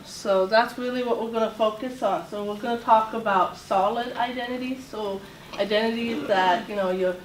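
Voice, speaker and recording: A woman from Latvia giving an informal talk to a group as a self-advocate, speaking haltingly with many fillers and restarts. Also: mid-range voice, slight background noise. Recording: roomy echo, voice far from mic, faint ambience.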